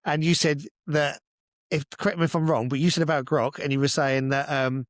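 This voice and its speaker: A man's voice: on the high side, little pitch movement, brisk, no echo, studio quality. A British man co-hosting a casual podcast chat, explaining his opinions in a sweary, insistent way and answering his own questions. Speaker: podcast co-host.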